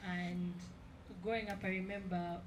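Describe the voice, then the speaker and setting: A woman's voice: fairly low pitch, level tone, normal speed, background noise. A young woman from central Uganda, a Luganda speaker, speaking candidly and reflectively as an artist answering audience questions at an exhibition.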